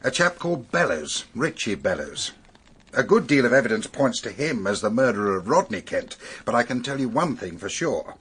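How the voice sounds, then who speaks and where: A middle-aged actor with an English accent playing a sober, matter-of-fact male police detective in a radio drama, measured and authoritative. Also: mid-range voice, very animated, a bit bright, breathy edge. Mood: firm.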